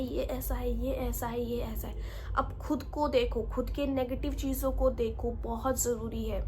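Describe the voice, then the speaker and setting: A woman's voice: high voice, a bit bright, slight background noise. A woman giving earnest self-help advice in a casual vlog, with a sore throat and a slight cough, often checking that the viewer follows. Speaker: self-help video creator.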